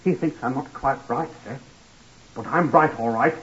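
A man's voice: medium pitch, moderate pace, fairly bright tone, husky. A man playing a simple, overly polite young hotel lift boy from Blackpool who keeps calling people sir, in 1940s radio acting style. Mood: eager. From Blackpool, Lancashire. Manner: overly polite, says sir constantly, apologetic. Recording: lo-fi vintage radio recording.

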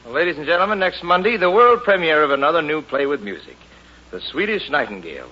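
A man's voice: fairly high pitch, very animated, fast, lo-fi sound. A man hosting and starring in a 1950s radio musical play, with a genial, polished showman's delivery, on an old radio transcription. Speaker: host and star singer. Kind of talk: 1950s radio drama and announcing.

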